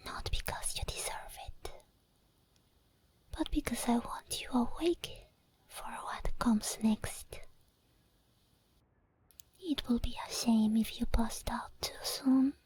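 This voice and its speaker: Slow whispering obsessed demon girl, played by a woman. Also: fairly high pitch, a little mellow. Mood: controlling, teasing. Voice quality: breathy whisper. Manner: slow, deliberate, coaxing and controlling. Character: obsessed female sleep paralysis demon, possessive, teasing, menacing. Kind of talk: whispered ASMR roleplay.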